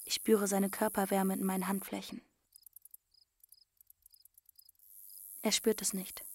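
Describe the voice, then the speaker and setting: A woman's voice: medium pitch, level tone, fairly quick, no echo, close to an everyday voice. A woman playing a dreamy, stubborn teenage runaway girl who tells her story intimately in short plain phrases in a radio drama. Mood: hushed, tender. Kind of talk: first-person radio drama narration with dialogue.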